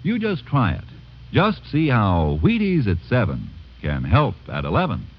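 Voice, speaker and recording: A man's voice: medium pitch, wildly swooping pitch, measured pace. An American man reading a classic radio advertisement in a folksy, chatty sales manner. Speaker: announcer and pitchman. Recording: dull vintage radio recording.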